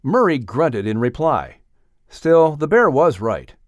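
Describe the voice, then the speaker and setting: A man reading a children's story as an audiobook narrator, in an expressive storytelling style. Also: fairly high pitch, slow pace, dry recording, clean studio sound.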